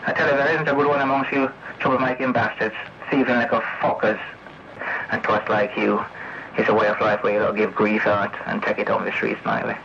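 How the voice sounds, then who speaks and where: A man's voice: lowish voice, level tone, brisk, creaky. A man delivering a rambling, confrontational, sweary monologue over CB radio, repeating accusations and throwing out challenging tag questions. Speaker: CB radio operator. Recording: noisy, muffled CB radio tape recording.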